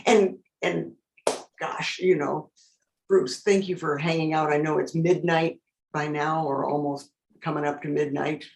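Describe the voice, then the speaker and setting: A woman's voice: low voice, fairly even intonation, a little slow, creaky, darkish tone. A woman hosting a storytelling event in a casual, effusive and appreciative way. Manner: effusive, exclamatory. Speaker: host of a storytelling contest. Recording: echoey room, faint noise and clicks, online call.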